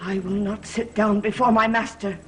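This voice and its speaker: A woman's voice: mid-range voice, steady tone, faint music behind, lightly acted. An actress playing a fierce, devoted female servant in a gothic soap opera, solemn and declamatory in manner. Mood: grimly resolute. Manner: slow, solemn, declamatory delivery.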